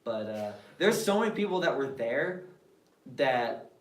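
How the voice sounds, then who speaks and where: A man's voice: medium pitch, very animated, a little slow. A man of about twenty-one with an American accent, a drummer chatting casually on a podcast in a rambling, story-telling way. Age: 20s. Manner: chatty, rambling, quotes people. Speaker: band drummer, guest. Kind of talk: casual chat. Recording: roomy, distant mic.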